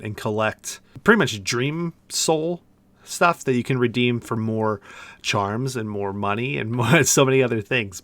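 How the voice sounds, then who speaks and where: A man's voice: medium pitch, a bit bright, no echo. A man co-hosting a podcast, chatting casually about a video game in loose, searching phrasing with repeated words. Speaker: podcast co-host.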